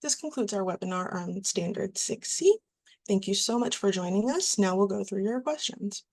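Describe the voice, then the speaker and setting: A woman presenting a webinar, reading from slides in a steady, scripted way. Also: mid-range voice, normal speed.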